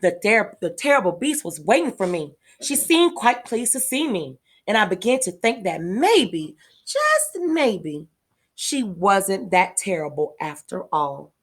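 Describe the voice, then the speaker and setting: A woman's voice: mid-range voice, very animated, measured pace, husky. A woman voicing a small, indignant, cunning furry beast in a children's storybook, melodramatic and put-upon. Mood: musing, softening. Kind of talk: children's storybook read-aloud.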